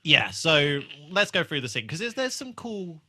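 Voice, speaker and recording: A man's voice: fairly high pitch, big pitch swings, normal speed, bright, forceful tone, a little hiss or hum. A man chatting casually as a podcast co-host, recapping a TV episode in an informal, sweary way.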